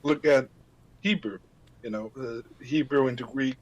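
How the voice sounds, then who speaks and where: A man's voice: mid-range voice, normal speed, lo-fi sound. An Icelandic man, a native Icelandic speaker, talking as a podcast guest in a casual interview, hesitant, with many fillers and restarts.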